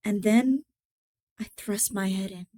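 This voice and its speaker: Woman playing cunning mad murderer, gothic storybook horror, smooth, very slow, deliberate, hushed then emphatic, theatrical. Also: medium pitch, close to an everyday voice. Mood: stealthy, sinister. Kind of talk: dramatic horror story reading.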